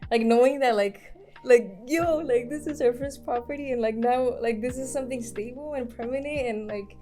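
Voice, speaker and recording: A woman from Mexico speaking as a community organizer in an interview, talking haltingly with many fillers and restarts. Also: a little high, warm tone, soft background music. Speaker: community land trust organizer. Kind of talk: casual interview talk.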